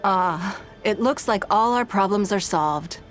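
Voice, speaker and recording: A woman voicing a poised, authoritative noblewoman in a video game, speaking in a formal, measured, composed manner. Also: mid-range voice, close to an everyday voice. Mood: composed, gracious. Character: poised, authoritative noblewoman leader employing secretaries. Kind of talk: scripted video game dialogue. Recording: background music behind voice.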